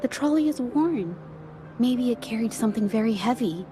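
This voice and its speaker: A woman acts as a gentle young female investigator in a story game. Her voice sits fairly high, and she reasons things out in measured, polite phrases. Clear background music plays beneath her. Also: expressive, natural voice. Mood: thoughtful, analytical. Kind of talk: video game story voice acting. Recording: music under the voice.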